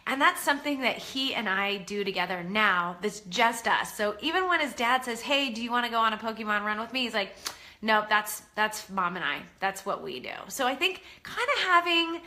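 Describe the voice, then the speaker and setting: A middle-aged woman with a bright, slightly high voice, stuffed up and nasal from a head cold. She talks casually to viewers of a live stream, warm-hearted in content but loose, with frequent ums. It sounds like a webcam or phone mic. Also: lively intonation, moderate pace. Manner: chatty and encouraging, many ums. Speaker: parenting coach hosting a live online class.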